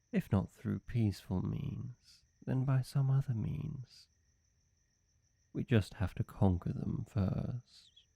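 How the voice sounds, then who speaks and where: Man playing a dark-voiced, suave, worshipful demon servant. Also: lowish voice, dry recording, exaggerated voice. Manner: suave, smooth-talking, deferential, deliberate. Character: suave, flirty, fanatically devoted male demon servant. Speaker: voice actor. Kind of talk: scripted roleplay audio addressed to the listener.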